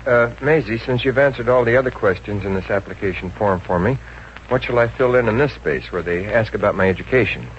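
Actor as a sheepish American boyfriend, old radio. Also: male voice, lowish voice, fairly quick, natural voice. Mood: unsure. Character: hapless, sheepish, none-too-bright steady boyfriend. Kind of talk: 1950s radio comedy acting. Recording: dull, noisy 1950s radio recording.

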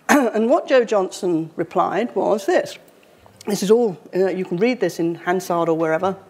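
A woman, giving a university public lecture in a conversational, wry way, her voice slightly croaky. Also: on the low side, expressive, unhurried, a little mellow. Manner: conversational, wry, with frequent fillers. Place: university lecture hall, indoors.

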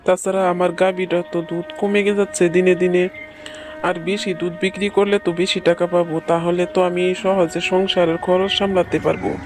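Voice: mid-range voice, flat delivery, moderate pace, music in the background, natural voice. A performer voicing a poor, worried and greedy village milkman in a cartoon story. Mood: scheming.

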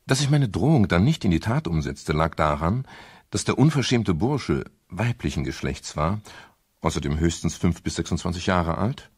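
An actor playing a wry private detective, narrating his case drily for a radio crime drama, with a gravelly, husky voice. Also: low-pitched, expressive, moderate pace, no room sound, clean studio sound. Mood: wry, reflective. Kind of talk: scripted first-person radio crime narration.

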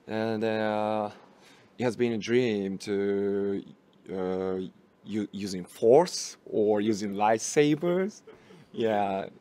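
A young man with Japanese as his first language, a guest in a festival interview, speaking halting English and searching for words. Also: lowish voice, mellow. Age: young adult. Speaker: actor guest. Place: small room at a film festival. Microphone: handheld mic held by interviewer.

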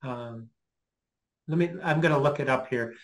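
A man in his fifties explaining informally as a lecturer on a video call from a small home office, halting and thinking aloud. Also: mid-range voice. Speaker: guest lecturer. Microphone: computer mic on a video call.

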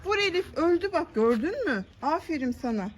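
A woman with an extremely high, animated voice speaks playfully to a small child as a mother would. She coaxes with short, repeated questions and prompts. Music plays behind her. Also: fairly quick. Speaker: mother hosting a family play video. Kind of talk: playful casual chat directed at a young child. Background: background music.

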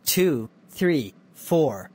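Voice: medium pitch, very animated, very slow, over music. A cheerful performer voicing a musician at a cartoon contest.

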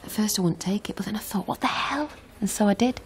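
An actress playing a guarded, defiant young con artist in a naturalistic radio drama. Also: female voice, mid-range voice, fast talker, whispered, natural voice. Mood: confessional. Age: young adult.

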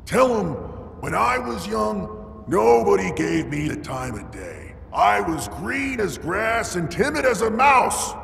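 A man voicing a boisterous, big-hearted rock-giant warrior in a video game, with a gravelly, hearty, boastful delivery. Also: high voice, very animated, slow pace, in a large hall, full character voice. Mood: hearty, proud. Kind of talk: video game cutscene acting. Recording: loud game music behind.

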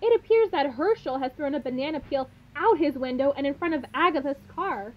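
A woman playing a cheerful, excitable sports co-commentator in a comedic radio play, with an animated announcer delivery. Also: high-pitched, a little fast. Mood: excited. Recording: dull, noisy amateur recording.

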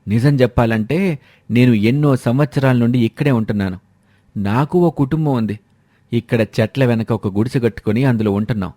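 A man's voice: mid-range voice, warm tone, dry recording, natural voice. A man voicing a poor village farmer in a cartoon moral story, reassuring and earnest in plain rustic speech.

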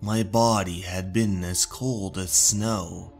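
A man narrating a scripted horror story as host, deliberate, with dramatic pauses. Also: deep voice, steady tone, gravelly, soft background music.

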